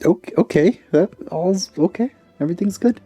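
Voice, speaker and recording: Low-voiced woman making offhand game asides. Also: animated, moderate pace, warm tone, faint music behind. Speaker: let's-play streamer. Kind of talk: casual game commentary.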